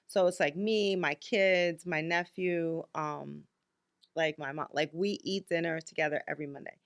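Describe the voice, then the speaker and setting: A woman's voice: sits low, fairly even intonation, moderate pace, smooth. A woman in her fifties co-hosting a podcast, talking candidly and bluntly, with lots of filler likes. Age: 50s. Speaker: podcast co-host. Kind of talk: casual chat.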